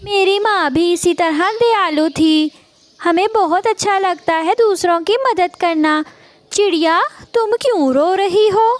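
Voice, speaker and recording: A woman's voice: very high voice, takes it slowly, no room sound, studio quality, voice pushed up. A woman voicing a vain, boastful female crow who gushes with false kindness in a cartoon story. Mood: gushing, self-satisfied.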